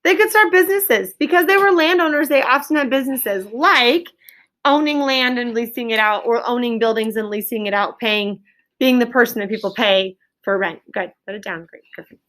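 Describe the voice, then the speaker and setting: A woman's voice: on the high side, normal speed, a bit bright. A woman teaching an elementary class in a question-and-answer style, directing pupils and repeating their answers back to confirm them.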